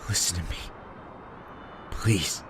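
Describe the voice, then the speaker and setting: A man playing a guilt-ridden, self-loathing young survivor in an emotional audio drama, with a halting, bitter delivery. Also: background noise, natural voice. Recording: prominent music behind the voice.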